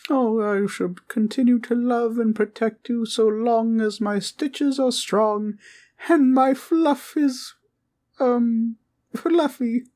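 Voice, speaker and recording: A woman playing a sweet, innocent talking teddy bear in a comfort roleplay, speaking in a gentle, quaint, reassuring way. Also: a little high, steady tone, slow pace. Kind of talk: roleplay comfort audio acting.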